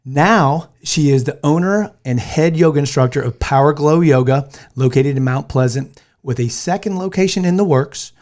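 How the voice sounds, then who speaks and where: A man's voice: fairly high pitch, normal speed, darkish tone. A man from the United States hosting a podcast, chatting in a friendly, easygoing way and joking with his guest. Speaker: podcast host. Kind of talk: casual interview chat.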